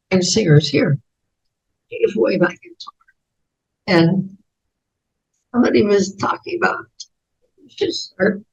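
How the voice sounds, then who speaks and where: An 88-year-old American woman with a raspy voice, telling reflective personal stories as an interview guest in halting, thoughtful phrases. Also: medium pitch, lo-fi sound. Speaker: interview guest, author and speaker.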